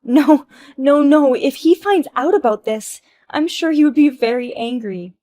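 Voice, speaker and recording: Woman doing a whiny entitled wife, high shrill voice, loud protests, dry close sound. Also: expressive, measured pace, dry recording. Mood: indignant whining. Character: shrill entitled young wife Emma, blaming others.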